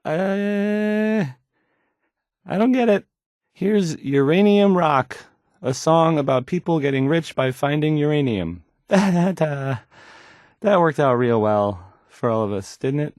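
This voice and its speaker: A man's voice: fairly high pitch, slow, a little mellow, no echo, studio quality. An actor playing an awkward, self-doubting young male radio DJ in a game, hesitantly rambling through song introductions. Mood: rambling, uneasy.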